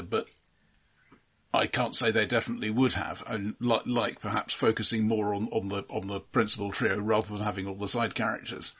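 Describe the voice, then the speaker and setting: A man co-hosting a casual film discussion, speaking in a measured, tentative way and qualifying what he says. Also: fairly low pitch, breathy edge. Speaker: podcast co-host discussing films.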